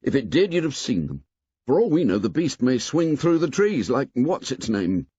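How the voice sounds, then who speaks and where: A man's voice: medium pitch, very animated, moderate pace, mellow, breathy edge, muffled recording, pitched above the performer's own voice. A man voicing an earnest boy leader who speaks firmly and reasons his case. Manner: firm, reasoning.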